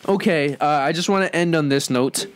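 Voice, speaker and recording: A man hosting a casual entertainment podcast, talking in an excitable, slangy way. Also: on the high side, moderate pace, a crowd in the background. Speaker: host.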